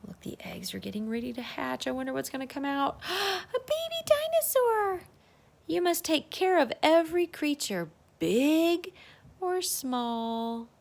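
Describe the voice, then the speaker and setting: Woman storybook reader for little kids: unhurried, very animated pitch, chatty asides and questions. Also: vocal fry. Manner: expressive, exclaiming, asking young listeners questions. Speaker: children's circle-time teacher and storybook reader. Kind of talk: children's storybook read-aloud with chatty asides.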